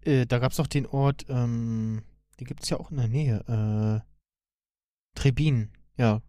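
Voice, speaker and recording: A man co-hosting a podcast, chatting casually and haltingly as he searches for words. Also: medium pitch, steady tone, warm tone, no echo, clean studio sound. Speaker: podcast co-host. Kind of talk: casual chat about cartoons.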